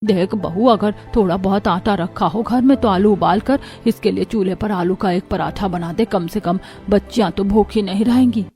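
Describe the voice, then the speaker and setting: A woman's voice: medium pitch, normal speed, few pauses, warm tone, faint music behind, natural voice. A woman playing an elderly village mother-in-law who advises and reassures in a moral story. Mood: practical concern.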